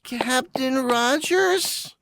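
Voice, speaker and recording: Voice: sits high, drawn-out words, over music. A performer playing a timid young boy who stammers, in a 1930s radio serial style.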